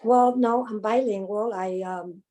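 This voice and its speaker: A woman's voice: medium pitch, mellow. Earnest Bronx woman with a Spanish-tinged accent. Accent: Spanish-influenced New York. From South Bronx, New York. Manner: earnest, halting, with frequent ums and repeats. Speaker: domestic violence advocate, guest. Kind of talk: interview conversation.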